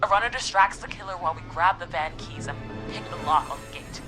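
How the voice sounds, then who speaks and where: A woman voicing a gutsy, nervy teenage girl who leads an escape plan in a video game, excitable and rallying. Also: on the low side, quick pace, lightly acted. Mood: determined, upbeat. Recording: music behind the voice.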